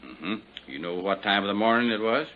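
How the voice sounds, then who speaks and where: An actor playing a plain-spoken western sheriff methodically questioning as coroner in a 1950s radio drama. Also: male voice, fairly low pitch, animated, a little fast, lightly acted. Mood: methodical, official. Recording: dull, noisy old 1950s radio recording.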